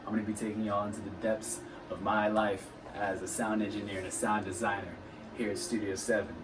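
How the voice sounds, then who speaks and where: A young man in his late teens with a deep voice, casually explaining sound equipment in a theater booth. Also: brisk, noisy background. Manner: relaxed, chatty explaining. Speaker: student sound engineer.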